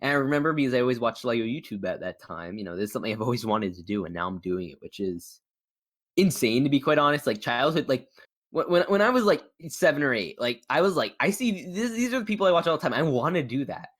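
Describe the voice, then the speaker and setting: A man's voice: mid-range voice, expressive, quick pace, a bit bright. A man chatting casually as a podcast host and YouTuber, in a rambling, stop-start way with frequent stammers. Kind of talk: casual chat interview.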